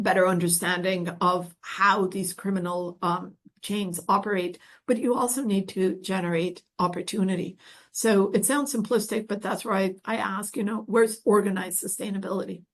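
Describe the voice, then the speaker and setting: A woman's voice: medium pitch, slightly rough. A woman from Colombia, an expert guest speaker answering audience questions at an online event in an earnest, emphatic manner.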